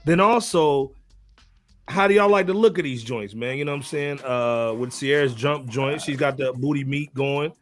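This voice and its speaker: A man's voice: medium pitch, normal speed, soft background music. A man hosting a casual hip hop panel chat, talking in a slangy, joking way. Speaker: podcast host.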